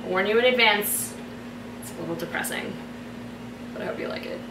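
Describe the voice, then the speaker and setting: A woman's voice: medium pitch, brisk. Roomy distant recording, chatty woman telling a story casually, bit of noise behind her. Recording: roomy echo, distant mic, background noise.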